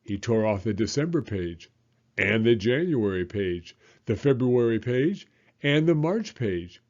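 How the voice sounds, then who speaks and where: Storyteller man in his fifties, gentle, animated read-aloud voice, lo-fi audio. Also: medium pitch, measured pace. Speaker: elementary school teacher, storyteller. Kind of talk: children's read-aloud narration.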